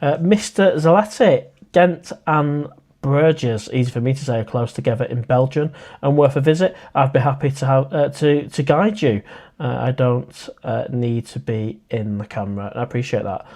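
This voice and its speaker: A man's voice: medium pitch, moderate pace, a little mellow. A man, hosting a casual live stream and chatting in a friendly way as he reads out viewer comments. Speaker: livestream host.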